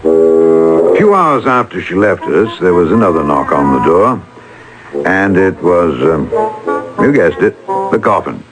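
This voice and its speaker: An actor playing an obsequious, excitable schemer in an old-time radio drama, fawning and hesitant. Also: male voice, deep voice, a little slow, pauses often, over music, poor audio. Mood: wry narration. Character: obsequious, flustered male schemer covering up a hoax. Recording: vintage radio drama recording.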